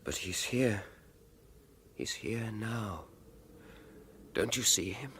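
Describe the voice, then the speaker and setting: An actor playing a haunted young English gentleman, anguished and fearful, with overwrought formal phrasing in a period radio drama. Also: lowish voice, lively intonation, slow pace. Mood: haunted, resigned. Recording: studio radio drama with background ambience noise.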